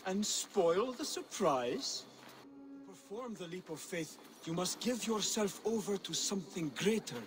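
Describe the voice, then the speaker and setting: An actor playing an earnest young assassin mentor in a video game, speaking in measured, formal, instructive phrases. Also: male voice, high voice, animated, faint music behind. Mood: solemn, encouraging. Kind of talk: video game cutscene acting.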